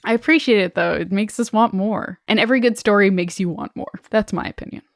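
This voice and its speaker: A woman's voice: medium pitch, brisk, dry recording, studio quality. A woman co-hosting a podcast, reading listener stories aloud expressively with casual asides.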